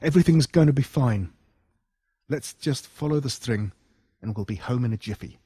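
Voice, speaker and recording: A man's voice: mid-range voice, animated, moderate pace, mellow, husky, dry recording, studio quality. A man with an Australian accent playing a loyal, protective young boy in a horror audiobook. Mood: reassuring. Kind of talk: acted dialogue in horror audiobook.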